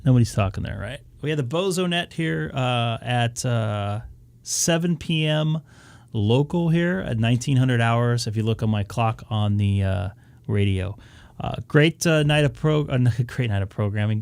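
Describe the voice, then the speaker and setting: A hoarse man from southern California, rambling through a casual wrap-up of his radio stream with many filler sounds. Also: medium pitch, no echo. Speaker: ham radio live streamer. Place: home radio station.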